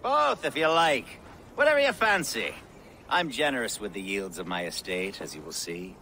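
Wealthy medieval lord, lively swooping pitch, bright forceful tone, theatrical and ingratiating, game drama. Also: male voice, normal speed, slight background noise. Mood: effusive, ingratiating. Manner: effusive, flowery, theatrical. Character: genial, showy, ingratiating wealthy Saxon lord. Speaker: actor in a video game cutscene. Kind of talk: video game drama acting.

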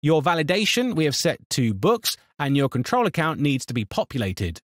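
A man narrating a scripted software tutorial in an instructional, step-by-step manner. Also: on the high side, very animated, moderate pace, dry recording, studio quality.

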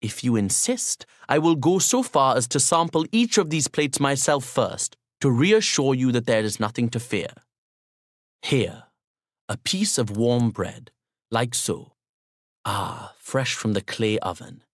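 A man's voice: medium pitch, expressive, a little slow, no echo, studio quality. A man voicing a courteous, talkative young Pakistani man who hosts a guest with formal, reassuring politeness. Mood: polite, reassuring.

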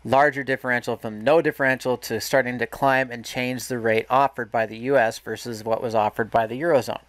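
A man's voice: mid-range voice, no echo. A man walking through a market analysis lesson over a screen recording, explaining step by step with restarts and self-corrections. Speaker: market educator.

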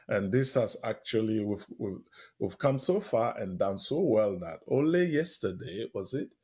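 A man with a husky edge to his medium-pitched voice speaks as an expert guest in an interview. He explains in short, emphatic phrases, often repeating himself for weight and posing questions as he goes. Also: a little mellow.

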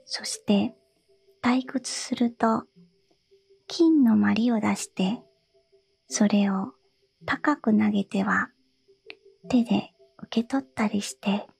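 A woman reading a fairy tale aloud in a soft, breathy whisper. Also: medium pitch.

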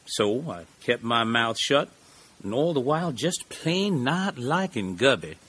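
A man performs a middle-aged small-town lawyer for an audio drama. The voice is husky and swings widely in pitch. He is genial and eccentric, taking his time like a folksy storyteller. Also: big pitch swings, fairly bright tone, full character voice. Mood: folksy storytelling. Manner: folksy, rambling, deliberate storyteller.

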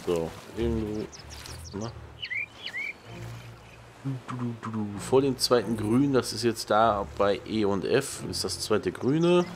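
Low-voiced man doing casual gameplay commentary. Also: lively intonation, slow, long pauses, background noise. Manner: muttering to himself, reading out on-screen prompts. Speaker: let's play host. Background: game music and island sounds.

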